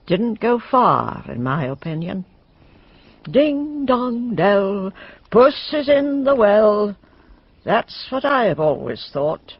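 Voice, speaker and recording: A woman's voice: medium pitch, very animated, takes it slowly, husky, dry recording, lo-fi sound, heavily acted. An actress playing a suspicious, gossipy village woman in a radio drama, blunt and full of knowing hints. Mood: darkly hinting.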